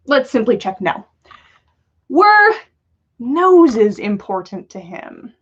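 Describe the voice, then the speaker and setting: A woman's voice: fairly high pitch, very animated, takes it slowly, dull audio, heavily acted. A woman voicing an anxious, wry old male wizard in a story read aloud. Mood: wry musing.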